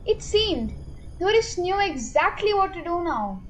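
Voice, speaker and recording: A woman's voice: very high-pitched, big pitch swings, a little slow, slight background noise, poor audio. A woman giving scripted storytelling narration for a student radio play.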